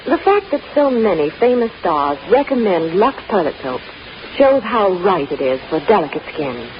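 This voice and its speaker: Casting: female radio pitch presenter, 1950s style, animated testimonial delivery, dull hissy recording. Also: normal speed. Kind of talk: scripted 1950s radio advertising.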